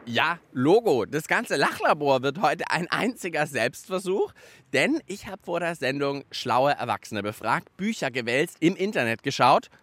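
A man's voice: fairly high pitch, very animated, moderate pace, a bit bright. A man hosting a children's radio show outdoors in a park, playful and enthusiastic. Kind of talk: lively kids' show presenting.

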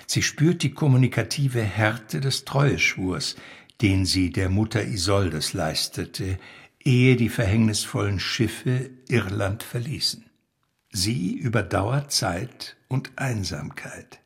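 Male narrator for literary essay: slow, measured, creaky low voice. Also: animated. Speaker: narrator reading literary prose.

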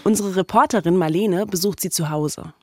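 A woman's voice: lowish voice, normal speed. A woman podcast host, reading scripted storytelling narration. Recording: music playing behind the voice. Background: music.

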